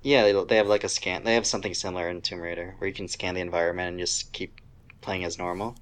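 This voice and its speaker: A man with a deep voice chats casually as a podcast co-host. He talks about video games informally, with a griping, conversational delivery. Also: fairly even intonation, fast talker.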